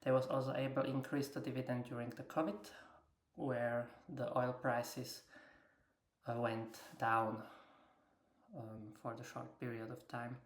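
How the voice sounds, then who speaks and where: Halting, flat-toned woman in an echoey room. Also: a bass voice. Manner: halting, hesitant, with very frequent filled pauses; non-native English with grammatical slips. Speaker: investor presenting earnings takeaways. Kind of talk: unscripted slide presentation commentary.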